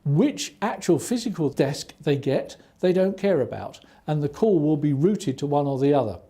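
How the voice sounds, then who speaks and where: Middle-aged guy lecturing on a course, warm soft tone, steady and explainy, goes point by point. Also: fairly high pitch. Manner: measured, explanatory, lists points one by one. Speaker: IT service management trainer. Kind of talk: recorded training lecture.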